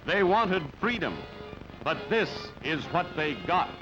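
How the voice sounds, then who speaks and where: A man's voice: high-pitched, expressive, takes it slowly. A man reads scripted newsreel narration in clipped, dramatic announcer phrases. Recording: dull band-limited newsreel audio, loud music. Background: loud music.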